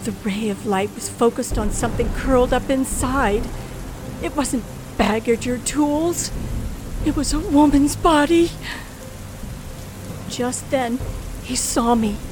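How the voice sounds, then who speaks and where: A frightened woman captive tells her story in a rather high voice. Her delivery is dramatic and suspenseful, in the manner of a 1940s radio thriller, with music behind her. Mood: tense, shaken. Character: frightened young woman narrator held captive by killer. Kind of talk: 1940s radio thriller acting, first-person narration.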